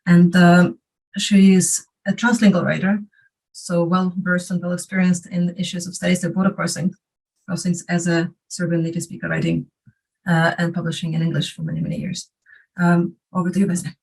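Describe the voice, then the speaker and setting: Hesitant woman chairing a panel, lower voice. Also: flat delivery, normal speed, darkish tone, poor audio. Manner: hesitant, many fillers and restarts. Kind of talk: introducing speakers.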